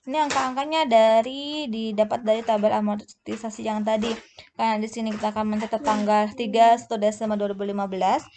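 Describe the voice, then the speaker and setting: Creaky-voiced woman explaining step by step. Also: a little high, level tone, dark tone, slight background noise. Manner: halting, often searches for words and self-corrects. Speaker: accounting tutor. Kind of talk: explanatory lesson walking through tables.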